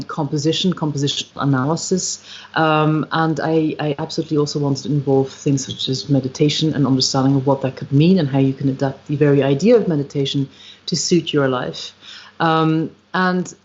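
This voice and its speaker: A woman's voice: sits low, steady tone, normal speed, darkish tone. Reflective Irish woman, lo-fi stream. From Ireland. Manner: reflective, searching for words, frequent ums. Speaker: guest, musician and retreat founder. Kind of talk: podcast interview, thoughtful conversation. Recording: slightly dull, lo-fi livestream audio.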